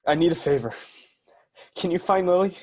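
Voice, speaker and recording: Man acting husky, anguished young male survivor Pete. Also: high voice, lively intonation, moderate pace. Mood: earnest, subdued. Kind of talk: table read of a screenplay.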